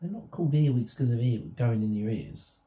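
A man's voice: mid-range voice, expressive, a little fast, warm tone. A father joking around and teasing his daughter during a bedtime read-aloud at home. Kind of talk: casual banter with his daughter. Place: bedroom, on a bed. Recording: echoey room.

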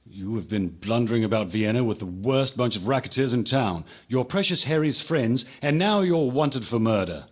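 Radio drama: man acting stern, authoritative major, raspy and middle-pitched, fed up. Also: lively intonation, normal speed, hardly any pauses. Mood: scolding. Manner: authoritative, scolding. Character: stern, exasperated male military police major.

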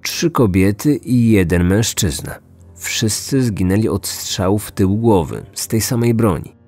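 A man with a low voice narrates a scripted true-crime story as a podcast host. Music plays beneath his narration. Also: lively intonation, unhurried, a little mellow.

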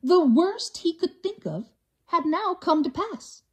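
A woman reading a rhyming storybook aloud to children in an expressive, theatrical, drawn-out way. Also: very high voice.

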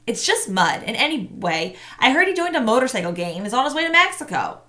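A woman's voice: high-pitched, big pitch swings, moderate pace, sharp tone, pitched above the performer's own voice, heavily acted. A woman reading aloud, voicing a stubborn schoolboy who talks curtly and defensively. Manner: curt, snapping, defensive.

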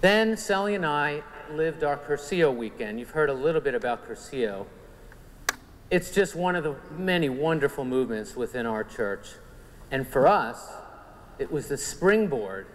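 A man's voice: fairly high pitch, expressive, moderate pace. A man in his forties with a Long Island New York accent, earnestly telling his personal faith story to a men's conference in a church. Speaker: conference speaker giving testimony. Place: large church sanctuary. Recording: church hall reverb, faint room noise.

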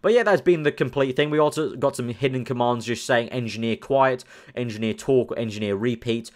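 A man's voice: mid-range voice, brisk. A young man from the UK, chatting casually as a gaming channel host, rambling and thinking aloud with lots of fillers. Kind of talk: casual commentary over screen.

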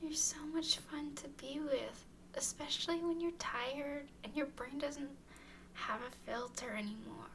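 A woman voices a playful, clingy young woman doting on her friend in an intimate ASMR roleplay. Her voice is high and creaky, often dropping to a soft, breathy whisper. There is faint noise behind her. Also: heavily acted. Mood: smitten, tender.

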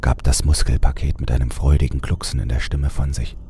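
A man reading a scripted horror story as narrator, measured and suspenseful. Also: a bass voice, little pitch movement, mellow, slight background noise. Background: music.

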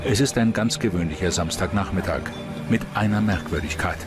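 Male narrator, low-pitched, measured, suspenseful, scripted true-crime documentary, prominent background music.

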